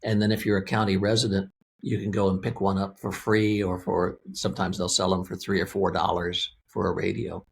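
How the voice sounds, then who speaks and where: A man's voice: deep voice, level tone, brisk, gravelly, slight background noise. A man speaking as an interview guest, explaining a product in a plain-spoken, methodical, conversational way. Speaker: interview guest, weather radio company representative.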